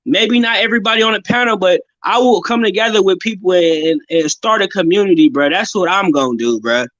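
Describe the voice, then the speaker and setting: A fervent, profane man speaking as a panel guest on a livestream, with a high pitch for a man. His audio is dry and dull, like a cheap stream mic. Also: a little fast, a bit bright, no echo. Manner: fervent and profane, repeats "bruh". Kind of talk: impassioned livestream rant.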